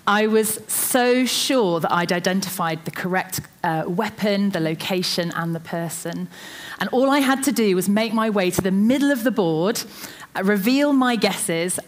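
A woman's voice: mid-range voice, unhurried. A woman giving a sermon in church into a microphone, opening with a chatty, self-deprecating story. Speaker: preacher.

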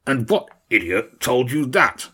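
A grumpy old badger king, all menace and suspicion, with a deep gravelly growl, as a man reads him out. The voice is husky and leaps up and down in pitch. It sounds a little distant from the microphone. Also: sing-song, measured pace, sharp tone, heavily acted. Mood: gruff.

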